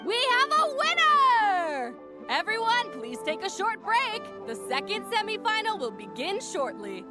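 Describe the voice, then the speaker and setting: A peppy young female tournament announcer in a video game. The pitch is extremely high and swings widely, with punchy, showy projection over clear background music. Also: big pitch swings, a little slow, exaggerated voice. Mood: hyped. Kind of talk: video game acting, sports announcer delivery.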